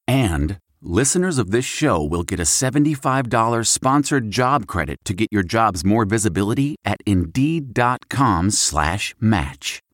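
Deeper, animated male ad announcer. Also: a little slow, few pauses, no echo, clean studio sound. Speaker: advertisement announcer. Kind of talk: scripted ad read.

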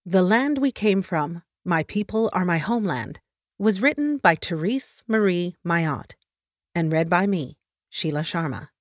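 Low, unhurried female reader for a reflective essay, dry booth sound. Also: expressive, no room sound. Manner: measured, reflective delivery. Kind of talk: scripted essay reading.

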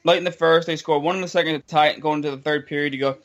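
Young man, high voice, incredulous, frustrated, repetitive, sports podcast host. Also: steady tone, rapid-fire. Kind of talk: casual sports chat.

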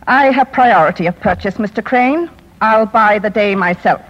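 An actress playing a proud middle-aged widow with a cutting, imperious manner in a 1950s radio drama. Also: female voice, medium pitch, normal speed, close to an everyday voice. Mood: resolute. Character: proud, resolute widow with biting wit. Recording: husky-sounding, muffled 1950s radio recording.

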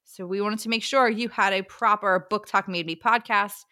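A woman's voice: medium pitch, fairly even intonation, moderate pace, sharp tone. A woman hosting a book podcast, chatting casually in a slangy, exclamatory way. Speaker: podcast host.

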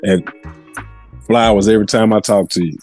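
A man speaking as a podcast guest in casual slang, effusive and halting, often repeating his words. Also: on the low side, steady tone, a little mellow, faint music behind.

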